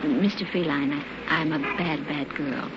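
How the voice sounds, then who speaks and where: An actress in an old-time radio drama playing a resigned, gentle young woman in her twenties, speaking haltingly and wistfully. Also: low voice, steady tone. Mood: resigned, sad. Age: 20s. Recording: muffled, very noisy old radio recording.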